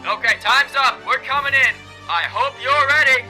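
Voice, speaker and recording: A man playing a commanding police officer in a comic drama, calling out demands in a deliberate, authoritative way. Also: very high-pitched, bright, forceful tone, faint music behind, exaggerated voice. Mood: stern. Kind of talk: dramatized comic adaptation acting.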